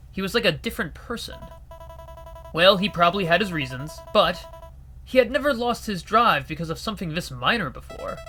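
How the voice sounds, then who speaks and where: A man narrating a visual novel story in a steady, expressive reading. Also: a little high. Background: faint music.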